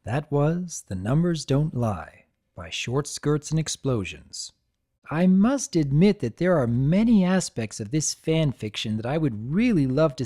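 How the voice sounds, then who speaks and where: A narrator reading a story aloud in an expressive storytelling style. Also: medium pitch. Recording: music behind the voice.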